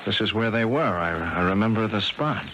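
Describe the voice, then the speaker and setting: An actor playing a blunt, commanding American army sergeant with an American accent in a 1970s radio drama. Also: male voice, on the low side, expressive, normal speed, muffled recording, lightly acted. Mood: grim, decisive. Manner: blunt, challenging. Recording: noisy old radio broadcast recording.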